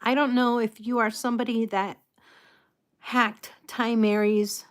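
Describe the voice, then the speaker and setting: A woman from the United States talks casually to her live stream viewers. Her voice sits a bit high and she speaks slowly, with pauses and restarts. Her articulation is slightly impeded, giving her words a careful, halting feel. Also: flat delivery. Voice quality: slightly impeded articulation from a false tooth and past stroke. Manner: halting, unhurried, greeting viewers by name.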